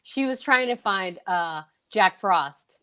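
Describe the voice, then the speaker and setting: A woman's voice: mid-range voice, animated, slow pace, fairly bright tone. A woman hosting a show with informal, teasing announcer patter, hyping things up as she goes. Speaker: host of an online radio-play show. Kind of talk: lively unscripted show hosting.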